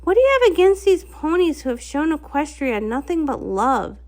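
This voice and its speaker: A woman's voice: high voice, sing-song, moderate pace. A woman voicing a young winged pony heroine in a storybook, earnest, brave and emphatic. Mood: defiant.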